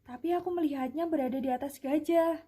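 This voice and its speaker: A high-pitched, soft-toned rabbit acted by a young woman from a puppet fable. It is an indignant, doubting little creature that questions insistently and plaintively. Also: brisk, natural voice. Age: young adult. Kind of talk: puppet-show fable dialogue.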